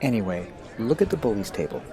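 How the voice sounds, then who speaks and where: A man playing a principled, friendly American high school boy who explains things earnestly in an audio drama. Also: very animated, fast, warm tone. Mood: explanatory. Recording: busy crowd noise behind.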